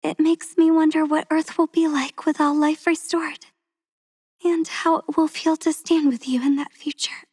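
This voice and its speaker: Woman voicing a soft-spoken, tender game heroine. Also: sits high, little pitch movement, moderate pace, no room sound, studio quality, close to an everyday voice. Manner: soft, tender, with frequent breathy whispers. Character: gentle, devoted young woman soldier, soft-spoken and tender. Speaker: voice actress for a game character. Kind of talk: game character voice lines. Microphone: close studio mic.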